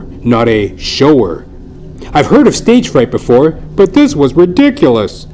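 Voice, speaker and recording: A man's voice: fairly high pitch, a little mellow, slight background noise. A man narrating a scripted comic story in a theatrical, mock-grandiose, wry manner. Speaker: comedy podcast host, storyteller. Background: music.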